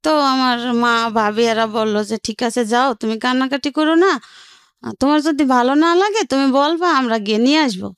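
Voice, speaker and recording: A woman's voice: high voice, brisk, clean tone, no room sound, clean studio sound. A woman with a Bangladeshi Bengali accent telling her own life story in a colloquial, rambling way, often quoting what relatives said. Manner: colloquial, rambling narration with quoted family speech. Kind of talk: personal storytelling in conversation.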